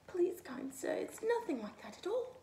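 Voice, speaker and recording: A timid mother rabbit, as a woman puts her on, squeaking and begging in a very high, breathy voice. The room adds an echo and a faint hiss. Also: lively intonation, normal speed, darkish tone, recorded from a distance. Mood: pleading. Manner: squeaky, pleading. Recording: echoey room, faint ambience.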